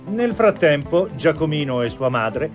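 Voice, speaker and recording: Guy narrating a cartoon fairy tale, voice a bit high, muffled old tv sound. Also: sing-song, measured pace. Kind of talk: scripted storybook narration for a cartoon. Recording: dull lo-fi TV audio, music behind.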